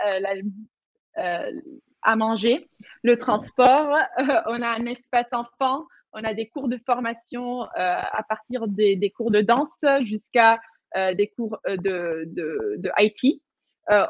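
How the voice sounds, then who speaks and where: A woman's voice: fairly high pitch, dry recording. A young woman from Madagascar, a panel guest speaking on a video call, hesitant with many fillers as she searches for words. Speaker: panel guest, social entrepreneur. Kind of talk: online panel talk. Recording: dull lo-fi sound.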